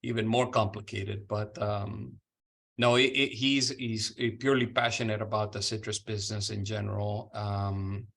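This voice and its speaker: A middle-aged man from Honduras speaks as a guest on an interview podcast. His voice sits fairly low, and he talks in a relaxed, unhurried way. He often trips over words, restarts them and fills pauses. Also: gravelly. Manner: relaxed, with frequent restarts and fillers. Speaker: guest, citrus company president. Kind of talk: casual interview talk.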